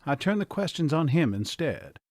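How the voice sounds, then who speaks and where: Man reading a novel, slow and measured. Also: a little high, big pitch swings, a little mellow, dry recording, studio quality. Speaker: audiobook narrator. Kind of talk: first-person novel narration.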